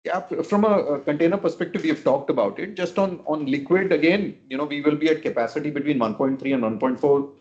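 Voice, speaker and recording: A man answering questions as a company executive on an earnings call, in a measured, explanatory, hedging manner. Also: a little high, a little mellow. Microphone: conference call line. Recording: dull, lo-fi conference call audio.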